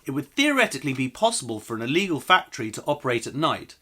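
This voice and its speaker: A man's voice: mid-range voice, very animated, quick pace, sharp tone. A man voicing a slightly posh father who reasons things out in a children's storybook reading. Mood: reasoning. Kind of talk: children's storybook read aloud.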